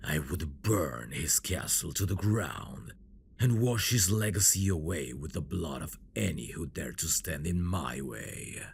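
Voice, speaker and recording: A man playing an obsessive, menacing dragon-blooded prince in an ASMR fantasy roleplay, speaking in a brooding, gravelly voice with possessive endearments. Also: slight background noise, heavily acted. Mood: vengeful, menacing. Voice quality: deep, gravelly. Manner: slow, brooding, menacing endearments, dropping into whispers. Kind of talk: ASMR roleplay, dramatic monologue.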